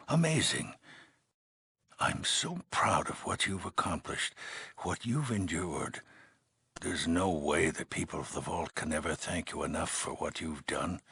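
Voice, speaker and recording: An actor playing a weary, grave older leader of an underground vault in a video game, speaking in a measured, solemn way with heavy pauses. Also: male voice, sits low, sharp tone, dry recording, full character voice. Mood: grave, moved. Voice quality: gravelly, husky. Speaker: overseer of a vault community. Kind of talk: dramatic video game dialogue.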